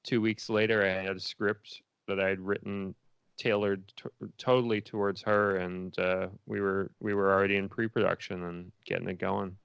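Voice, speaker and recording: Low, creaky-voiced man in casual interview. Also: level tone, moderate pace, a bit bright, no room sound. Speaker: interview guest, filmmaker.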